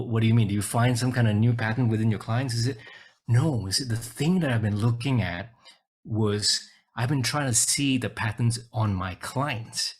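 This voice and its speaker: A man's voice: mid-range voice, breathy edge. A man from Singapore presenting a webinar over a video call, talking casually and hesitantly, often repeating his words.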